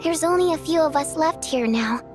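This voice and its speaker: An actress playing a gentle, thoughtful young woman in a video game, speaking softly, wistfully and with care. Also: high-pitched, normal speed, soft background music, close to an everyday voice. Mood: caring. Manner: soft, wistful, caring.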